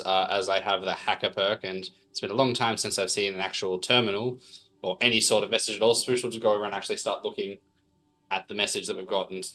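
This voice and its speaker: A man's voice: on the low side, fairly bright tone, low-quality recording. A man with an Australian accent, a tabletop RPG player casually describing what his character does.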